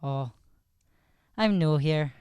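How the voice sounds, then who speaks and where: Bashful young Irish lad for improv radio comedy, played by a man: very smooth tone, halting delivery, dry close sound. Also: a little high, darkish tone, no echo. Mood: nervous, shy. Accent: Irish, put on. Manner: hesitant, stammering. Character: timid, socially anxious young Irish schoolboy. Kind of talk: improvised old-time radio comedy play.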